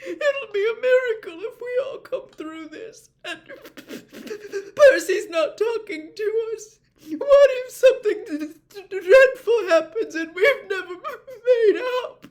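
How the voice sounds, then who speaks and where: A frightened middle-aged mother, breaking into sobs and stumbling over her words, her voice pitched high and unsteady. She speaks slowly between gulps of crying. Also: animated, a little mellow, full character voice. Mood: tearful. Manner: crying, stammering, high wailing.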